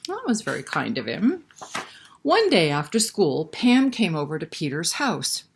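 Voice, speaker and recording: Slow, lively woman reading a storybook aloud. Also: on the low side, gravelly, a little hiss or hum. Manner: expressive, chatty, prompting listeners with questions. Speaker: library story-time host and reader. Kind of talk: picture book read-aloud with asides to children.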